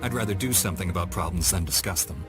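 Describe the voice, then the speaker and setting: A man's voice: low-pitched, fast talker, lightly acted. A man voicing a young, action-minded Jedi knight who speaks directly and dryly, in a studio video game cutscene. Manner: direct, dry. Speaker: Jedi apprentice. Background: orchestral game music.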